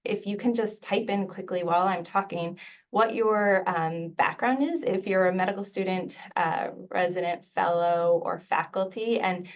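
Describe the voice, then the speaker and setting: A woman's voice: on the low side, normal speed. A middle-aged woman from Mexico whose first language was Spanish, a physician giving a conversational, earnest webinar talk with frequent fillers. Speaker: infectious disease physician and mentor. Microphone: video call microphone. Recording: dull, distant video call audio.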